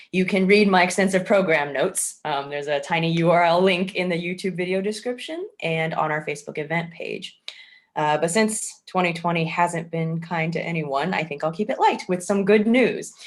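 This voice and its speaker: Woman, lower-middle pitch, informal event host with wry, self-deprecating delivery. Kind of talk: casual introduction to a virtual staged reading. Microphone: video call.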